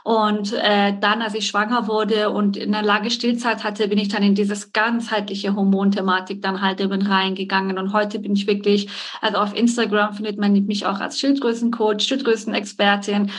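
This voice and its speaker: A woman's voice: mid-range voice, little pitch movement, normal speed. A woman in her forties from Iran, a guest nutrition expert who talks at length and searches for words. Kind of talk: interview answers.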